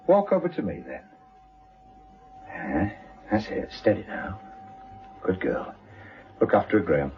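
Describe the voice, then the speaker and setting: Low-voiced, wry British police inspector. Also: male voice, wildly swooping pitch, unhurried, long pauses, dull audio, faint music behind, full character voice. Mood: calm, commanding. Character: wry, steady, fatherly senior police inspector. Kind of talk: British radio drama acting.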